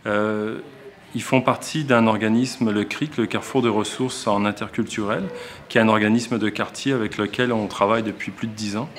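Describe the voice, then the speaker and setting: A man's voice: lowish voice, fairly even intonation, normal speed. A male teacher with a Québécois accent, explaining in a documentary interview and often restarting his sentences as he thinks. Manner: reflective, explains with frequent restarts. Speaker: elementary school teacher.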